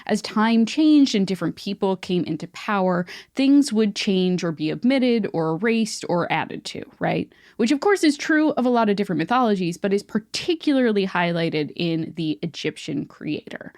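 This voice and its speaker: A woman's voice: medium pitch, expressive, normal speed, hardly any pauses, a little mellow, dry recording, studio quality. A woman co-hosting a podcast, explaining mythology in a chatty way with filler words. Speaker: podcast co-host. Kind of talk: casual chat, explaining mythology.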